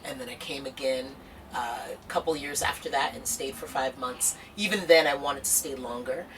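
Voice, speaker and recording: A woman's voice: a bass voice, normal speed, a bit bright, recorded from a distance, a little hiss or hum. A young woman talking about her music in an earnest, rambling interview full of fillers and restarts. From United States. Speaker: singer-songwriter. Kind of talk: casual documentary interview.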